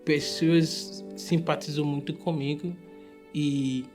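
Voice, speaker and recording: Higher-voiced young man with Nigerian accent. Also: steady tone, takes it slowly, over music. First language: not Portuguese. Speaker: refugee, English teacher and musician. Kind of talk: testimonial in a documentary.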